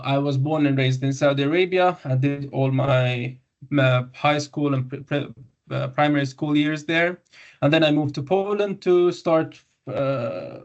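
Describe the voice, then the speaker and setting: A man in his twenties from Syria, a doctor giving an informal webinar presentation, halting with many fillers and self-corrections over a video call. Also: fairly high pitch. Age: 20s. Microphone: video call microphone. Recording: muffled lo-fi webinar audio.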